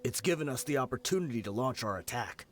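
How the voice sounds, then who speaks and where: A man's voice: medium pitch, animated, fast talker, natural voice. A man playing a grave, guilt-ridden veteran mercenary leader, telling things solemnly in a video game. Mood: vengeful. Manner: solemn storytelling.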